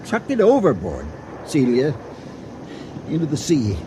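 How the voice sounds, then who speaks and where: High, animated husband in radio thriller. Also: male voice, a little slow, background noise. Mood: agitated, insistent. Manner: emphatic, theatrical, insistent. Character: domineering, excitable husband rationalizing a grim crime. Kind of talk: old-time radio thriller acting.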